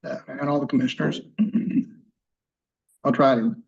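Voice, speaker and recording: A soft-spoken male attorney giving a formal argument before a commission, halting often with fillers and restarts. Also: medium pitch, fairly even intonation, vocal fry. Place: hearing room. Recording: dull lo-fi hearing room audio.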